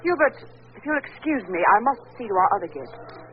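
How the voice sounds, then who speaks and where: A woman's voice: mid-range voice, very animated, a little fast, natural voice. A woman playing a gracious, elegant society hostess, in 1940s radio drama style. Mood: gracious, charming. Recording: noisy, tinny old 1940s radio recording.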